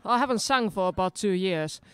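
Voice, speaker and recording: A woman's voice: medium pitch, expressive. Finnish woman chatting between songs outdoors. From Finland. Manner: chatty, self-deprecating, quick asides. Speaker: singer-pianist. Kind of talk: casual stage chat between songs. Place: outdoor pub garden. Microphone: stand mic. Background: live keyboard.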